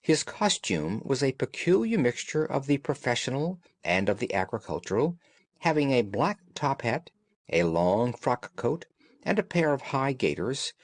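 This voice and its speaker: A man reading classic fiction as an audiobook narrator, in a measured, dramatic storytelling manner. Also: medium pitch, dry recording.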